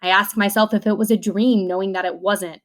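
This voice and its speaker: A woman reading a children's novel aloud in an expressive way, acting out the dialogue as she goes. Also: mid-range voice, a little fast.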